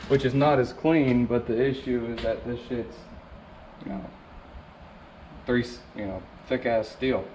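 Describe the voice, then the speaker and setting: A man narrating a DIY vehicle install in a relaxed, casual way, talking to himself as he works. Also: mid-range voice, measured pace, pauses often, slight background noise. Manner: laid-back, talks to himself while working. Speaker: DIY vlogger. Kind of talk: casual how-to narration while working. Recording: roomy, distant, dull camera audio.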